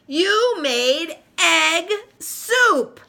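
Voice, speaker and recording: A woman acts out a bossy young tomboy girl in a storybook. She is emphatic and dramatic, with a very bright voice and big pitch swings. Her words come slowly and with weight. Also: big pitch swings, exaggerated voice. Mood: exasperated.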